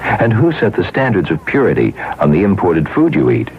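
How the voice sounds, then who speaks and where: A man announces a scripted radio spot. His voice is extremely low and animated. The recording is muffled with faint noise. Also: moderate pace. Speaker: public service announcer.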